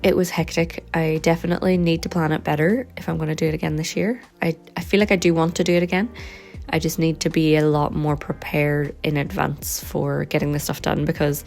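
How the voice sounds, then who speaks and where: A woman chatting casually in a vlog, her voice slightly hoarse and croaky, rambling in a relaxed way with frequent ums. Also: low voice, brisk, faint music behind. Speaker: vlogger and sticker and journal maker.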